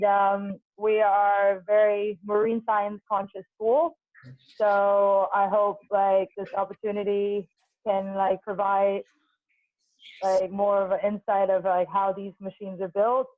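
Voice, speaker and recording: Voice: medium pitch, little pitch movement, a little slow. A student from Hong Kong introducing themselves on a video call, hesitant with many fillers. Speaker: student at a marine science school. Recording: tinny phone-like call audio.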